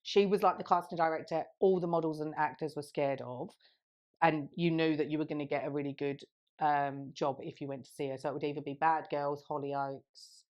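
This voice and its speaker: A woman's voice: sits low, steady tone, fairly quick, a little mellow. A woman in her thirties co-hosting a podcast, telling a rambling story in casual chat and laughing often. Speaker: podcast co-host.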